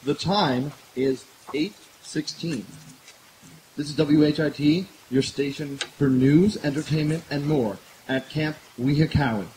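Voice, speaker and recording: An actor playing a formal, measured station announcer in old-time radio style. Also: male voice, on the high side, background noise, lightly acted. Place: outdoor stage in the woods.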